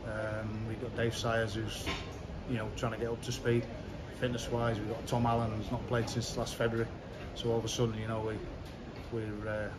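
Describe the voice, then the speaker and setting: A middle-aged football manager giving a measured, halting post-match interview outdoors in a covered stand, with many restarts. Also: male voice, on the low side, monotone, very noisy.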